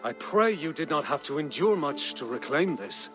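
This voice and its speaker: A man's voice: on the high side, very animated, moderate pace, music in the background. A man voicing a pious, anxious Irish abbot in a game, formal, earnest and deferential. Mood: earnest, alarmed. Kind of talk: video game cutscene acting.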